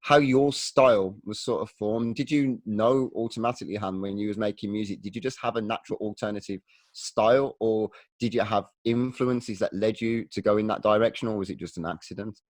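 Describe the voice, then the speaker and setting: A man's voice: lowish voice, fairly quick, no room sound. A man with a British accent hosting a podcast, chatting casually with a jokey, teasing manner. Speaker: podcast host. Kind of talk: casual chat, interviewing.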